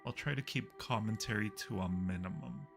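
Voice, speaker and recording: A man's voice: lowish voice, a little fast, creaky. A man hosting a casual game livestream, chatting playfully and directly with his viewers. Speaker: livestream host. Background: background music.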